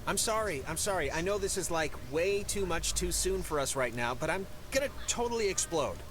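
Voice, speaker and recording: A man's voice: high-pitched, lively intonation, a little fast, noisy background. A young male actor with an American accent playing an awkward, easygoing, apologetic teenage stepbrother in a game. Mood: sheepish. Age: young adult. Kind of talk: naturalistic game dialogue.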